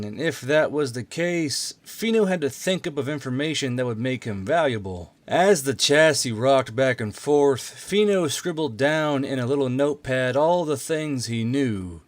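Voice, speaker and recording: A man's voice: medium pitch, expressive, unhurried, few pauses, dry recording. Man narrating an audiobook, dry close sound. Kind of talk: scripted story narration.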